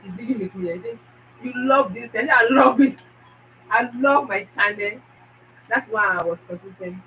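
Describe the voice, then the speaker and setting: A woman's voice: on the high side, moderate pace, sharp tone, distant mic, a little hiss or hum, poor audio. A woman with a Nigerian accent hosting her own channel, talking emphatically to viewers and repeating her phrases. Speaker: YouTube channel host. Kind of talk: casual motivational talk to viewers.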